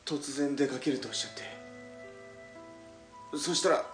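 A male actor as a selfless, badly wounded gangster's aide in a radio drama. He speaks politely but haltingly, gasping and weak, in short broken phrases. The room echoes and music plays underneath. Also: medium pitch, lightly acted. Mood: pained, weakening.

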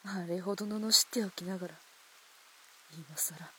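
An actress playing a guarded, wounded woman of old Japan, speaking formally and softly in a radio drama. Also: fairly low pitch, steady tone, normal speed, lightly acted. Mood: reproachful. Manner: hushed, formal, wary. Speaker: actor in amateur radio drama. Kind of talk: restrained period radio drama acting. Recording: hiss and rain-like background noise.

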